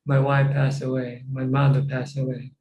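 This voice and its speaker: A male Buddhist teacher with a Thai accent speaks in a very mellow, even voice with little rise and fall. He teaches informally, repeating and restarting phrases. The call has a strongly echoing, roomy sound. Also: medium pitch, muffled recording, a little hiss or hum. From Thailand. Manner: repetitive, halting phrasing. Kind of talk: informal Dhamma teaching with questions. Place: Zoom call.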